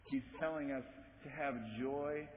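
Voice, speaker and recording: A young man with a head cold leads a casual Bible study. He talks in a relaxed, chatty way and keeps checking in with his group. The sound is thin and tinny like a phone line, with a faint hiss behind him. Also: fairly high pitch, a little slow. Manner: relaxed, conversational, asks the group questions. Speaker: small-group Bible teacher.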